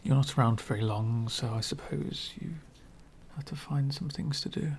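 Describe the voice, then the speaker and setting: A man playing an elegant, centuries-old vampire host in an ASMR roleplay, lonely, wry and courtly, soft-spoken with frequent whispers and gentle condescension. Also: mid-range voice, steady tone, normal speed, slight background noise, lightly acted. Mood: disdainful, resigned. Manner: soft-spoken, often dropping into breathy whispers.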